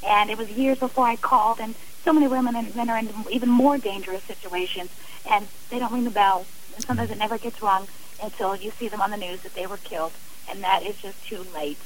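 A woman's voice: mid-range voice, fairly even intonation, fast talker, a little hiss or hum, low-quality recording. A woman speaking earnestly and emphatically as a guest on a radio interview.

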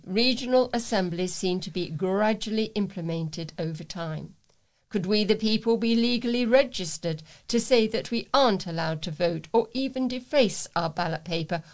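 A woman reads letters and local news aloud as a volunteer, phrasing things in a measured, deliberate way. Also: mid-range voice. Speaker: volunteer reader for a local talking newspaper.

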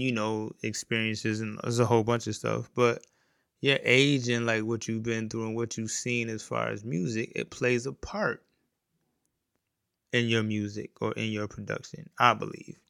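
A man's voice: medium pitch, creaky, a bit bright, smooth, dry recording, clean studio sound. A man in his forties hosting a solo podcast as a music producer, talking in a rambling, easygoing way with lots of fillers and restarts. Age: 40s. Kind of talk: casual solo podcast talk.

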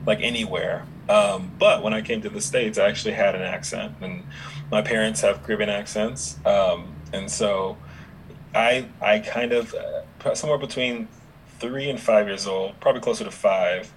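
A young adult man with no regional accent, chatting relaxed with many fillers as a podcast guest. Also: lowish voice, moderate pace, slight background noise, rough recording. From Dominica, raised in Houston, Texas. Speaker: podcast guest, app founder. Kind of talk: casual interview conversation.

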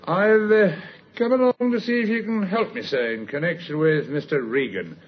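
An actor playing a polite, diligent police sergeant, courteous and formal, in old-time radio drama. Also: male voice, sits high, normal speed, slightly rough. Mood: polite, apologetic. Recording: dull, lo-fi old radio recording.

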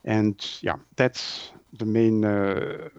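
A man's voice: fairly low pitch, fairly even intonation, extremely slow, smooth, no echo, muffled recording. A man from Switzerland, a native French speaker with a Swiss French accent, explaining things as an interview guest and often searching for words.

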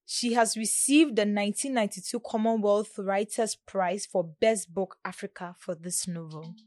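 A woman's voice: medium pitch, normal speed, a little mellow, no room sound. A woman reading scripted literary narration as a presenter. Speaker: presenter and reader.

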